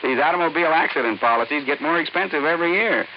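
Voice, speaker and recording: An actor playing a flustered but agreeable sergeant husband in a vintage radio domestic sketch, folksy and hesitant. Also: male voice, fairly high pitch, racing, lightly acted. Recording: muffled old radio recording, faint hiss.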